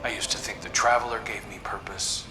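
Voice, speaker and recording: A man's voice: lowish voice, fairly even intonation, a little fast, background noise, close to an everyday voice. A man playing an earnest, determined, selfless adventurer and brother in a video game, sincere and understated. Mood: earnest, vulnerable. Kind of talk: scripted video game drama. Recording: echoey room, music underneath.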